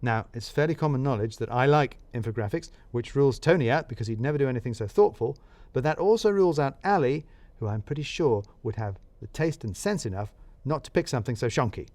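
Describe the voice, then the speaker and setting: A man's voice: medium pitch, expressive, quick pace, a little mellow, no echo. A man narrating a scripted audio drama as a detective presenting his case, dry and wry.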